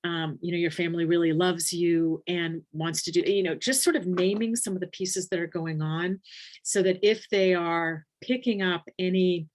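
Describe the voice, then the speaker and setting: A woman with a very low voice, teaching a nursing webinar in a casual, thinking-aloud way with many ums and restarts. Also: steady tone, normal speed. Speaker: palliative care nurse educator. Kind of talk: casual webinar teaching, reading chat questions.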